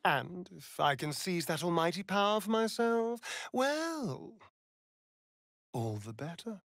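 A man's voice: sits high, very animated, takes it slowly, a bit bright, dry recording, studio quality, full character voice. A man with a British accent voicing a vain, sardonic, seductive vampire rogue in a fantasy video game, with an arch, flamboyant, mocking manner. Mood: smug, seductive.

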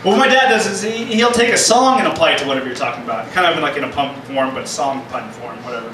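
A fairly high-voiced young man telling deadpan puns on a small club stage. His handheld mic is caught by a far-off camera, so the sound is roomy and hollow. A steady hum of background noise sits under the voice. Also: fast talker. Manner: deadpan punning, sings snatches of songs. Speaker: stand-up comedian and interview guest. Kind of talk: stand-up set with puns, then casual interview. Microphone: handheld mic, filmed by a far camera.

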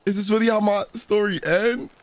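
A man's voice: sits high, fairly even intonation, a little fast, dry recording. A man role-playing a suspect on a game stream, chatting casually in street slang.

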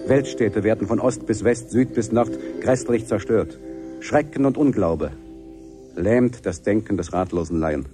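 An actor playing a solemn narrator of doom, declaiming elevated verse in a ceremonious manner in a 1970s radio play. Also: male voice, fairly low pitch, measured pace, lightly acted. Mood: grave, declamatory. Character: solemn male chorus-like narrator of nuclear doom. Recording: 1970s radio production with music behind.

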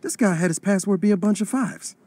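A man's voice: high voice, a little fast. A man acting a chatty, nerdy young robbery crewmate in a video game, with noise behind him. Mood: chatty, eager.

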